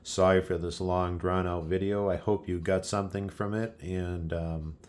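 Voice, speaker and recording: A man hosting a casual, unscripted radio hobby video, explaining things in a low-key, rambling, apologetic way. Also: steady tone, normal speed, darkish tone.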